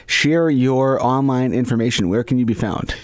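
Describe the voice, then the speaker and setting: A man's voice: medium pitch, moderate pace, dry recording, clean studio sound. A man hosting an interview, asking friendly prompting questions. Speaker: radio show host.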